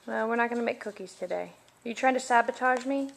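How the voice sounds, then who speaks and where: A woman's voice: fairly high pitch, fast, vocal fry. Matter-of-fact woman giving a daily update. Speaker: vlogger and mother. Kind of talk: casual diary vlog.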